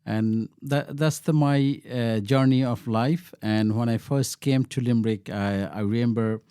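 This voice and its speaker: Halting Bangladeshi-born man, mellow voice. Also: mid-range voice, steady tone, clear, resonant tone, no echo, clean studio sound. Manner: halting, many restarts and fillers. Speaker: local councillor, guest. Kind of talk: interview answers.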